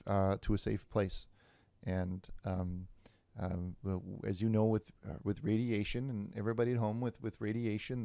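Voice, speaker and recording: A man as a low-voiced, stammering televangelist host. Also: gravelly, dark tone, no room sound. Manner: halting, stammering, repetitive. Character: bumbling, evasive male televangelist hosting a call-in show. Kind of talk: improvised parody call-in religious broadcast.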